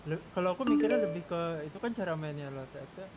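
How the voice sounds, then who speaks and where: A man's voice: animated, rapid-fire. A man talking casually on gaming voice chat, repeating words as he reasons. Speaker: gamer discussing plans. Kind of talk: casual group chat during online roleplay gaming. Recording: noisy background rumble.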